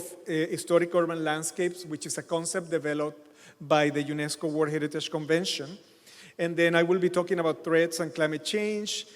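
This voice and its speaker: Middle-aged man lecturing in a hall. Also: on the high side. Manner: halting, many fillers and restarts. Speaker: keynote speaker. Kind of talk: conference keynote lecture. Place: large hotel conference hall. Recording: long hall reverb.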